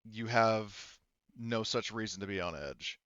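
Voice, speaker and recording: A man voicing a solemn, easily offended female religious leader in a formal, measured and stern manner. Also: on the low side, fairly bright tone, no room sound, lo-fi sound.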